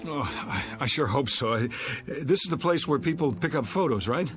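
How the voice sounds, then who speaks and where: A man's voice: medium pitch, expressive, moderate pace, music in the background, lightly acted. An American actor playing a desperate, agitated fugitive in a television drama, stammering and insisting urgently that he is sane. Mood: anxious, hopeful. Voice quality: stammering, breaking phrases.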